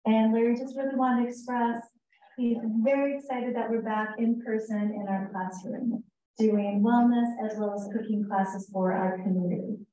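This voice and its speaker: Raspy-voiced woman in a very echoey room. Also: fairly high pitch, warm tone, far from the mic, muffled recording, a little hiss or hum. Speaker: co-op staff presenter. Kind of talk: annual meeting presentation. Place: meeting room. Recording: very echoey room, away from the mic.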